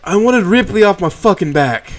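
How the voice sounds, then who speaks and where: A man doing a loud New York Tyrannosaurus, a jolly, blustering boss who talks in a high, bellowing voice. He brushes off every complaint in a casual, dismissive way. This is storybook-style voice acting. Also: expressive, moderate pace. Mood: stern. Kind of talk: reading a fan story aloud.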